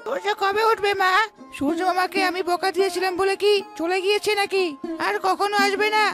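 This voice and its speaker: Cartoon small child: tiny high voice, quick talker, innocent, anxious. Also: female voice, little pitch movement, fairly bright tone. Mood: anxious, pleading. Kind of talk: animated folk-tale cartoon dubbing. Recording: music behind the voice.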